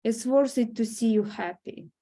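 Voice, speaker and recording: A woman at a script table read playing a gentle, loving grandmother who reassures her granddaughter. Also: a little high, moderate pace.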